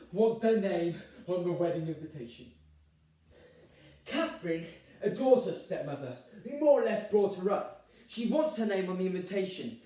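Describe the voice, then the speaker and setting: A man's voice: high voice, warm tone. Young man as put-upon groom, emphatic stage delivery, exasperated, very echoey, far from the microphone. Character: harried, put-upon groom recounting a family crisis. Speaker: drama student performer. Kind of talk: dramatic stage monologue. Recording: very echoey room, distant microphone.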